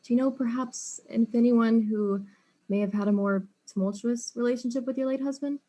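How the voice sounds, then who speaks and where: A woman's voice: a little high, steady tone, normal speed, mellow, natural voice. A woman playing a polite, probing detective who questions suspects in a mystery drama. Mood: sympathetic. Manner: courteous, inquisitive. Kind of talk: acted mystery audio drama.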